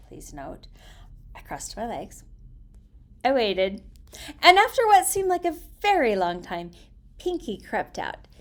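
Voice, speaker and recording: A woman storyteller reading aloud in an expressive, theatrical way, with deliberate phrasing and hushed emphasis. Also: mid-range voice.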